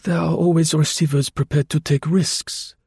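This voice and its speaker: A man's voice: fairly high pitch, steady tone, moderate pace, creaky, darkish tone, breathy edge, dry recording, voice pushed up, full character voice. A man playing a curt, scheming official. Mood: dry, curt. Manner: curt, dry, bland.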